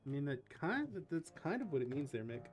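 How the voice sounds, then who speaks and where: Slightly high-voiced man streaming, casual and wry. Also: sing-song, fast talker. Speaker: game streamer, politics lecturer. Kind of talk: casual livestream commentary. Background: game music.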